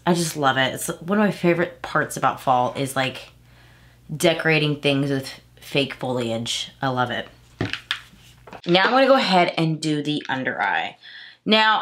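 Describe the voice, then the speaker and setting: A woman's voice: deep voice, fairly bright tone. A woman in her thirties from Texas with a slightly nasal, stuffy voice, chatting casually through a makeup tutorial and correcting herself as she goes. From Houston, Texas. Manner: chatty, rambling, self-correcting. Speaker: beauty vlogger. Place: small room at home, indoors.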